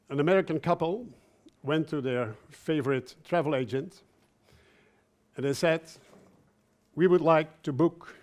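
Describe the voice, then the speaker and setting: A man raised in Belgium, speaking English as an in a conference talk, slow and halting, often repeating words and dropping joking asides. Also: a little high, darkish tone.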